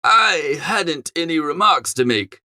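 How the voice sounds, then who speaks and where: A man reads a comic first-person story aloud with lively swings in a fairly high voice. The recording is close and dry, in audiobook style. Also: slow pace, no echo. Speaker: audiobook narrator.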